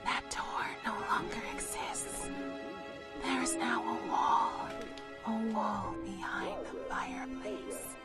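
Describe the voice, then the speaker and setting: Haunted, fragile woman: slow breathy whispers, higher pitched, halting, loud music behind. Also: wildly swooping pitch. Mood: guarded, eerie. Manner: hushed, halting, fearful. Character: fragile, haunted, secretive woman in a horror game. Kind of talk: dramatic video game acting.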